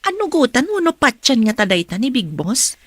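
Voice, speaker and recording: A woman's voice: high-pitched, very animated, moderate pace, a bit bright, husky, no echo, heavily acted. A woman with a Filipino accent playing a probing, matter-of-fact office superior in a radio drama. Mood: probing, advising. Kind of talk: Ilocano radio drama acting.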